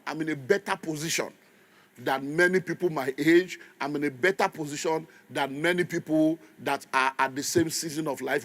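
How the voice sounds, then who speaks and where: A Nigerian man in his fifties preaching with animated energy. His voice sits a little high for a man, and he slips into Pidgin asides and laughs easily between his points. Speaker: pastor. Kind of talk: sermon.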